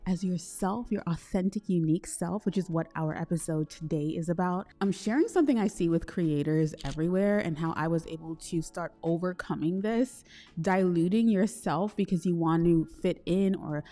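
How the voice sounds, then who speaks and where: A woman from Trinidad hosting a solo podcast, talking in a chatty, expressive way with slangy asides. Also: lowish voice, moderate pace, soft background music. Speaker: podcast host. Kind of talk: solo podcast, casual self-reflection.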